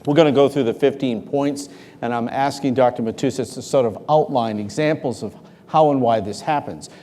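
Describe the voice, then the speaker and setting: A man's voice: mid-range voice, normal speed, warm tone. Formal male host in a reverberant hall. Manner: formal, introduces and steers questions. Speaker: talk show host. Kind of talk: hosting a live interview before an audience. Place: large hall with live audience.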